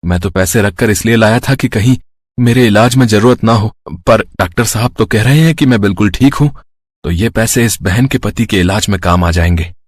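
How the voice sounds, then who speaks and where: A man voicing a kindly, generous wealthy gentleman in a cartoon devotional story. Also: fairly low pitch, normal speed, dry recording, clean studio sound, close to an everyday voice. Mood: kind, benevolent. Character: kindly, generous rich man, secretly a benevolent god. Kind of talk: Hindi cartoon story acting.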